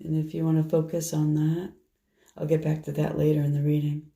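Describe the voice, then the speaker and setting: A hoarse woman chatting through a tarot card reading, soft and meandering as she shuffles and lays out cards. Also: deep voice, completely flat delivery, a little fast. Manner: soft, meandering, half to herself while handling cards. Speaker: tarot reader. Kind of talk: casual tarot reading monologue.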